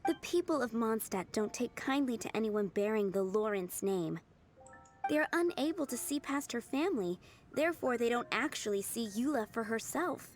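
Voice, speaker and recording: A woman voicing a gentle young cook. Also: a little high, lively intonation, moderate pace, faint music behind, natural voice. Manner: gentle, earnest. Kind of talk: video game voice acting.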